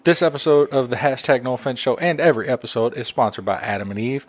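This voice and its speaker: A man with a mid-pitched voice hosts a comedy podcast. He talks casually and profanely, telling crude stories in an animated, conversational way. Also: fast talker. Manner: crude, swearing, animated storytelling. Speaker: podcast host.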